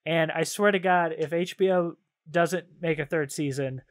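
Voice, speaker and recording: A man's voice: fairly high pitch, steady tone, moderate pace. A man co-hosting a podcast, chatting casually in a rambling, slangy, enthusiastic way about games and shows. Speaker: podcast co-host.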